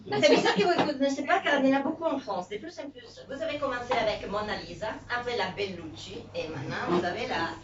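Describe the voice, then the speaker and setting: A woman from Italy doing a stand-up set in French with an Italian accent, animated and teasing the crowd, mixing in Italian words. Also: on the high side, fast, hardly any pauses. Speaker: stand-up comedian. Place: comedy club. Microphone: handheld stage mic. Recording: roomy, distant, dull club recording with audience.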